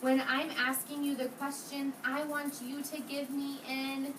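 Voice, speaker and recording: Lady teacher doing a read aloud for little kids, high voice, asks questions, noisy classroom. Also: flat delivery, a little slow. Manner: questioning, repeats answers back, instructive. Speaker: kindergarten teacher. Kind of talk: interactive read-aloud lesson for young children. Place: indoor classroom with young children. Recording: roomy, noisy classroom from a distance.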